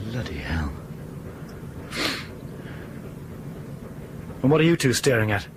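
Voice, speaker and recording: An actor in a radio drama playing a sceptical, reluctant captain with a blunt, exasperated manner. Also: male voice, lowish voice, very animated, unhurried, very noisy. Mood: weary doubt.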